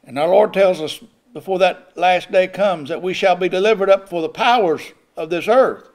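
Older man, high-pitched preacher, builds emphasis, chuckles now and then, pulpit mic. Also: moderate pace, darkish tone. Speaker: pastor. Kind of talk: sermon. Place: church sanctuary at a pulpit. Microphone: pulpit microphone.

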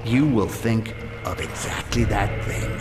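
An actor playing a sly, menacing, foul-mouthed goblin assassin in a video game, with a gravelly voice and a sardonic, muttering, snarling delivery. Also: male voice, fairly low pitch, lively intonation, a little slow, long reverb tail, over music. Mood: quietly menacing. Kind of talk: video game character acting.

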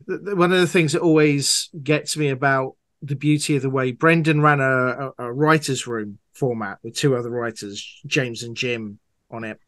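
A man's voice: medium pitch, fairly even intonation, normal speed, fairly bright tone, dry recording. Enthusiastic man in a dry recording. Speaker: podcast guest, audio drama producer. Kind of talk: conversational interview answer.

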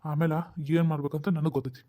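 A blunt, exasperated father, played by a man speaks in a high, quick voice with a North Karnataka Kannada accent. He fires rhetorical questions and scolds his wife without letting up in a family drama skit. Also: fairly even intonation, dark tone, close to an everyday voice.

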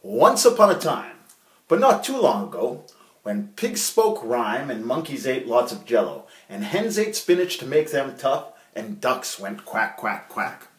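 Very animated man reading a children's story. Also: mid-range voice, unhurried. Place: small bare indoor room. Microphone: phone at arm's length. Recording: echoey small room, phone mic.

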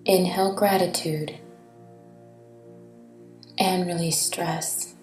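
Very slow, soothing woman meditation guide. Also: lowish voice, fairly even intonation. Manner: soothing, gentle, deliberate with long pauses. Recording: reverberant, distant-sounding voice over soft music.

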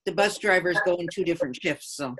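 A woman's voice: fairly low pitch, fast talker, fairly bright tone, slight background noise. A woman town councillor talking informally on a video call, with hesitant, loosely structured phrasing. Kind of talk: informal meeting discussion. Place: remote video call from a room.

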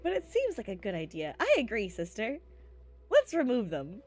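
A woman voicing a cold, vengeful sister in a game roleplay, deliberate and deadpan. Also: a little high, pitched below the performer's own voice. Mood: cold, menacing. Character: cold, vengeful sister calmly plotting punishment.

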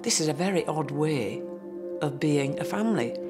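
A woman's voice: low voice, lively intonation, normal speed, over music. A woman commenting in a documentary interview, emphatic and dramatic, stretching out key words.

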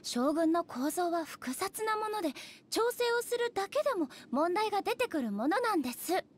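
A high, formal woman's voice for a dignified goddess ruler in an anime game. She is composed, aloof and literal-minded, choosing polite, measured words. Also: sing-song, slight background noise.

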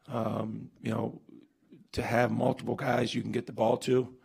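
Gravelly, husky coach at a press conference. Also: male voice, mid-range voice, flat delivery, a little slow, a little mellow. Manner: earnest, emphatic, many restarts and fillers. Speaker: head basketball coach. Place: press room with sponsor backdrop, seated at table. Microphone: table mic on stand.

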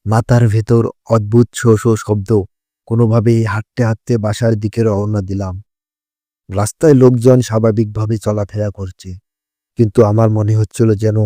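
Guy reading a scary first person story, lowish relaxed mellow voice. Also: no room sound, clean studio sound. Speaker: story narrator. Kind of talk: scripted first-person horror story narration.

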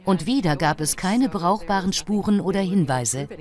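A woman acting as a female interviewee commenting on a criminal case. Her dubbed documentary voice sits fairly low, over faint music. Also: expressive, normal speed, darkish tone, natural voice. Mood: matter-of-fact.

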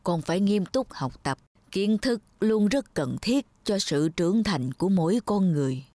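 Woman voicing a measured, stern head monk. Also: medium pitch, animated, mellow, no room sound, natural voice. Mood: stern, encouraging. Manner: admonishing, measured. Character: stern but patient male head monk, teacher. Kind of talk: narrated cartoon fairy tale. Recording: music behind the voice.

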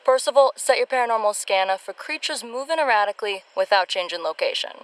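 An actress playing a monster hunter's deadpan, sardonic female assistant in a retro radio serial. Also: medium pitch, fast, smooth, no room sound, recorded from a distance, close to an everyday voice, rough recording. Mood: wry.

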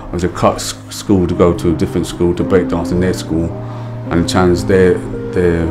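Low-voiced man, candid. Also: little pitch movement, moderate pace. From London. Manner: candid, street-casual talk. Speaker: project member, musician. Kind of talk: documentary interview. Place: indoor art room. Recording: music bed underneath.

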